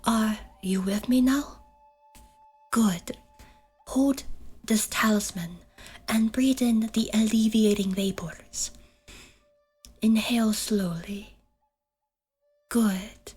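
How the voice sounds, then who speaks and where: A woman's voice: a little high, fairly even intonation, takes it slowly, pauses often, a little mellow, husky, faint music behind. A woman playing a solemn, protective shamaness in an ASMR fantasy horror roleplay, speaking half in whispers with archaic, commanding deliberation. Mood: solemn, guiding. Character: solemn protective shamaness guiding an afflicted listener.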